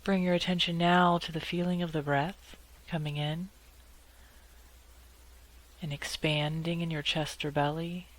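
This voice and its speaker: A woman leading a guided meditation in a calm, measured way, leaving long pauses between short phrases. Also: sits low, poor audio.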